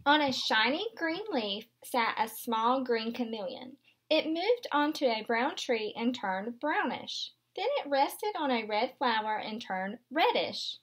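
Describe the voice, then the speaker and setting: A woman's voice: a little high, sing-song, a little slow, fairly bright tone, breathy edge. A woman in her twenties, a kindergarten teacher, reading a picture book aloud expressively and explaining it simply for young children. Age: 20s. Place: small furnished room indoors.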